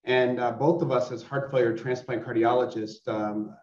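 Halting man, distant roomy video call. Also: mid-range voice, steady tone, darkish tone, dull audio. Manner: halting, with many fillers. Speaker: cardiologist hosting grand rounds. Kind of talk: thanking the speaker, loose remarks. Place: home office with bookshelves.

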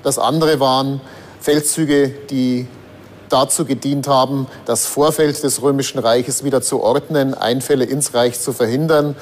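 A man speaks as an expert historian in an interview. His voice is of average pitch and his commentary is reflective. A little ambient noise sits behind him. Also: steady tone, unhurried.

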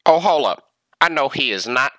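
A man performing comedic animal voiceovers in an exaggerated, slangy, expressive way. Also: medium pitch, normal speed, no echo, clean studio sound.

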